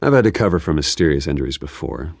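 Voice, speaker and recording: An actor playing a guarded, stubborn American masked vigilante in a video game, speaking in a terse, low-key way. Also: male voice, animated, quick pace, creaky, dry recording, clean studio sound, exaggerated voice. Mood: defensive. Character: brooding, guarded male masked vigilante, stubborn and self-assured.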